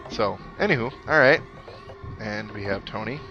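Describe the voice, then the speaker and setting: A man's voice: lowish voice, moderate pace, pushed and bright, lo-fi sound. Man's wry gaming commentary over game music. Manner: wry, musing asides to viewers. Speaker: host of a game playthrough series. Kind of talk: casual let's play commentary, reading game dialogue aloud. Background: video game music.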